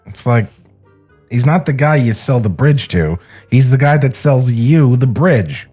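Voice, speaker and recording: Man playing an excitable young cartoon animator, raspy. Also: medium pitch, unhurried, soft background music. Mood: insistent.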